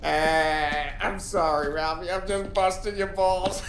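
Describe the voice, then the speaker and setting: Wisecracking henchman, American, higher pitch, unhurried, needling, game cutscene. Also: steady tone. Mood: mocking. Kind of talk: cinematic game acting. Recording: roomy sound with music.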